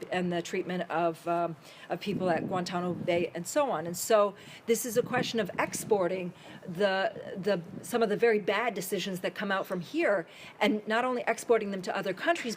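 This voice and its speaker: A woman speaking on a conference panel, organized, brisk and pointed as she lays out her points. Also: medium pitch, slight background noise. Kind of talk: panel discussion remarks. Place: conference hall.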